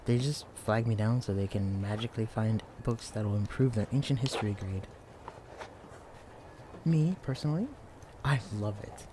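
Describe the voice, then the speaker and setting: A young man playing a shy, clumsy, bookish librarian in an intimate audio roleplay, speaking in a hesitant, stammering, hushed way. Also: lowish voice, normal speed, long pauses, noisy background, lightly acted. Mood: helpful, eager. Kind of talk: intimate audio roleplay acting addressed to the listener. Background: quiet library ambience.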